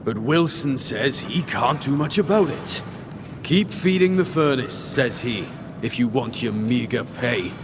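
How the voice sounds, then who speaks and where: An actor playing an aggrieved working miner in a video game, complaining in a mocking manner. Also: male voice, medium pitch, expressive, unhurried. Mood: bitter, mocking. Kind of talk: video game dramatic dialogue. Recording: clear background noise.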